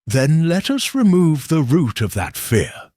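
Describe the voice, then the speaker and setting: A man voicing a shrewd, calm Victorian detective who reasons in a measured, precise way, in a husky voice. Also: a little high, dry recording, studio quality, pitched above the performer's own voice.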